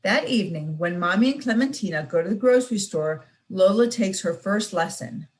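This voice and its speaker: A young woman reads a children's picture book aloud, expressive and sing-song. Her pitch sits mid-range but moves a great deal from phrase to phrase. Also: moderate pace. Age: young adult. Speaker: student reader.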